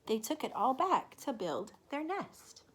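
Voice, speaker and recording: A woman reading a children's picture book aloud in an expressive, deliberate way, with asides to young listeners. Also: high-pitched, breathy edge. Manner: animated, deliberate, asks the listener questions. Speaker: children's story reader.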